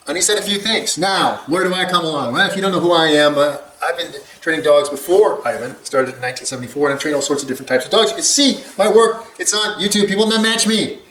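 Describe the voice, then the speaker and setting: A man's voice: fairly high pitch, fairly bright tone. An older man, a longtime dog trainer, giving a blunt, rambling reaction commentary with the odd chuckle. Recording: echoey room with faint background hum.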